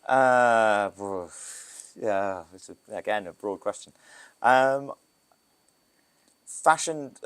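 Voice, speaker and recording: British man, very low voice, casual rambling podcast guest, photographer. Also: drawn-out words, pauses often, clean tone. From Britain. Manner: loose, rambling, with restarts and asides. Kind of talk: casual interview talk.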